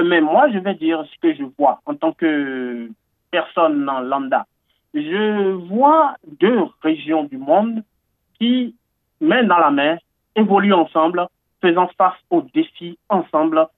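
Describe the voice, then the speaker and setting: A man from Benin with a high voice speaks earnestly in a radio interview, pausing often on fillers and repeating himself as he builds enthusiasm. The sound is thin and phone-like, dry with no room echo. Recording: tinny phone-like line, dry.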